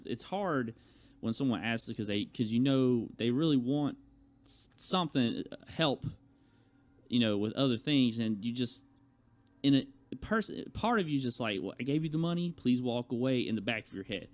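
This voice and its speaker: Male YouTuber, mid-pitched voice, conversational and colloquial, loose storytelling that slips into quoted dialogue. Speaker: YouTuber telling personal stories to viewers. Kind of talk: casual rambling storytelling.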